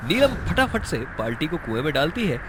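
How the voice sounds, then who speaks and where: A man's voice: fairly high pitch, sing-song, moderate pace, background noise. A man narrating a scripted horror story for a cartoon. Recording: music behind the narration.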